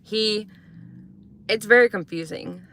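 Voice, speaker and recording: A woman's voice: medium pitch, slow, fairly bright tone. A young woman, from Croatia, hosting a casual morning true-crime livestream, chatting and answering viewers as she rambles through updates.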